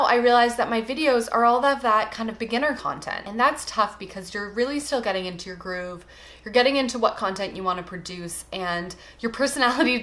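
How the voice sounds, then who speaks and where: A young woman from Toronto with a Canadian accent talks casually to her viewers. Her voice sits a little low for a woman but sounds bright and forward. She is chatty and emphatic, letting phrase endings trail away. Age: young adult. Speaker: vlogger introducing herself. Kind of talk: casual chat to camera, get-to-know-me answers.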